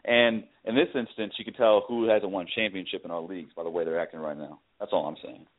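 Deep-voiced brash man trash-talking. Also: steady tone, fast, no room sound. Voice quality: bass-heavy voice. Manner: brash, teasing trash talk. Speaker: show regular. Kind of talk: casual sports banter. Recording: dry tinny phone-like line.

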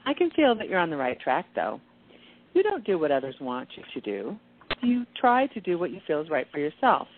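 A woman playing a serene, knowing angel guide in a radio play, speaking with confident, measured emphasis. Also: low voice, very animated. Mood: gently advising. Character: serene, knowing female angel guide in kittenish human guise. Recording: tinny, band-limited phone-like sound.

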